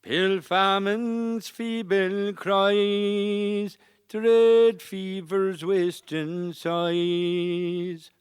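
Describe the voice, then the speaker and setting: A woman delivers an old lament at a very slow pace, solemn and drawn out. Her voice is exceptionally smooth and resonant, set in a dry, close recording. The style is lyrical and mournful. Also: mid-range voice, flat delivery, dry recording.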